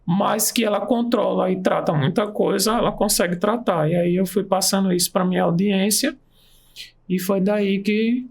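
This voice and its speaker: A man's voice: sits high, moderate pace, creaky. A man, an aromatherapy practitioner, telling his story with enthusiasm in a casual interview. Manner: enthusiastic storytelling, pace shifts. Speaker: aromatherapist and nurse, guest.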